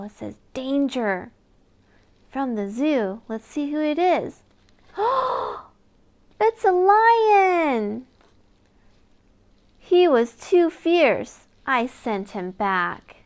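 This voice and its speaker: A woman reading a picture book aloud to young children in an expressive storytelling manner, with exaggerated wonder for small listeners. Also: high-pitched, extremely slow, pauses often.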